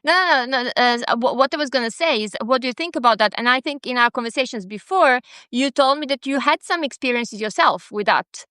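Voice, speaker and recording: Hesitant woman with a smooth voice. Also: sits high, expressive, a little fast, few pauses, sharp tone, no room sound, clean studio sound. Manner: hesitant, hedging, many fillers. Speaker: podcast host. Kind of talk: conversational interview.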